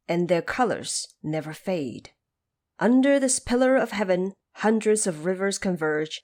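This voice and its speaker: A woman narrating a mythic tale in a scripted audio drama style, with measured, explanatory phrasing. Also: fairly low pitch.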